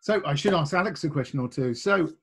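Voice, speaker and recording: A man's voice: a little high, lively intonation, fast talker, vocal fry, husky. A man hosting a panel interview in casual, conversational style, feeling his way into his questions. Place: remote online video panel during lockdown.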